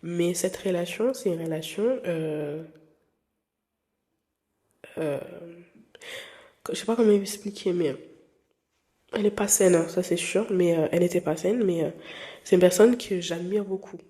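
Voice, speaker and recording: Young woman with a warm, mellow voice. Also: fairly low pitch, measured pace, long pauses. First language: not French. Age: 20s. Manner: rambling, hesitant, lots of fillers and restarts; candid and playful. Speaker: podcast host. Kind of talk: casual personal chat.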